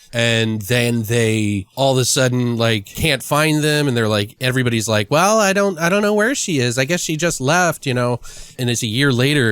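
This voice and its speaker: A man hosting a podcast, brainstorming loosely out loud with lots of fillers. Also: medium pitch, no echo. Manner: loose, thinking aloud with fillers. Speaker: podcast host. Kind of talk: casual brainstorming chat.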